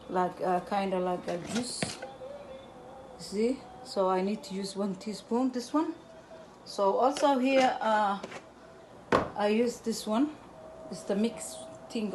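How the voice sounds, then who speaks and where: A Somali woman with a Somali accent, hosting her cooking channel from her home kitchen in halting English, recorded by a camera a little way off. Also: mid-range voice, darkish tone, slight background noise. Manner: halting broken English, repeats words while explaining. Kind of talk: casual cooking demonstration.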